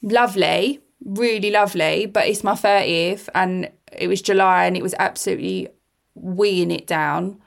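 A woman's voice: medium pitch, moderate pace, gravelly. A woman in her thirties co-hosting a podcast, chatting frankly with casual, informal phrasing. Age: 30s. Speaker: podcast co-host. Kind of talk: casual chat.

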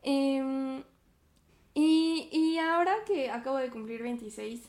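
A woman's voice: sits high, takes it slowly, darkish tone, reverberant. A woman, hosting a podcast in a chatty, reflective way, with lots of fillers and little laughs. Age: 20s. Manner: chatty, many fillers and self-conscious laughs. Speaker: podcast host. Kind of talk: reflective casual monologue.

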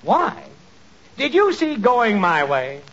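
Smooth-talking, persuasive salesman, high animated voice, chummy pitch, muffled 1940s radio comedy. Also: slow, heavily acted.

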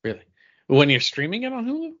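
A man's voice: high voice, huge pitch swings, fast, a bit bright, muffled recording. A man co-hosting a podcast, talking in a casual conversational style. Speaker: podcast co-host. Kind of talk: casual chat.

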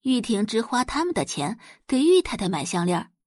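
A woman narrates a scripted romance audio drama. Her voice is fairly high with a husky edge, very expressive in pitch as she tells the story. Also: moderate pace, dry recording, studio quality.

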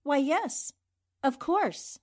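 A woman playing a sharp, persistent but polite newspaper reporter in an old-time radio drama, articulate and questioning. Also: sits high, lively intonation, drawn-out words, full character voice. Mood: challenging.

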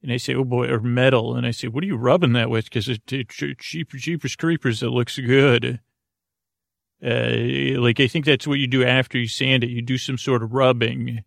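A man hosting a bedtime podcast with a creaky voice, rambling in a lulling, hesitant, meandering way that keeps drifting into tangents. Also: mid-range voice, fairly even intonation, normal speed, no room sound, studio quality. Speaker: podcast host. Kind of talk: rambling bedtime monologue for sleep.

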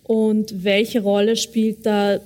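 Interviewer: woman, even and measured, asks long questions. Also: mid-range voice. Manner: measured, with back-channel "mhm"s. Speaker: interviewer, moderator.

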